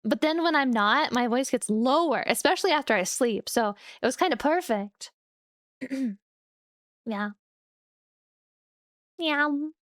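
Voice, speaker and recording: Chatty high-voiced young woman streamer. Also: takes it slowly, pauses often, no echo, studio quality. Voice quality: relaxed, chatty, giggly. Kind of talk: casual chat with stream viewers. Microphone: studio microphone.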